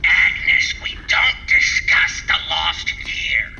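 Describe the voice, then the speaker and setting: A stern horror radio sidekick, with a gravelly voice and very slow delivery. The sound is dull and clearly noisy, like an old radio. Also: male voice, a little high, big pitch swings, exaggerated voice. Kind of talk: campy horror radio banter.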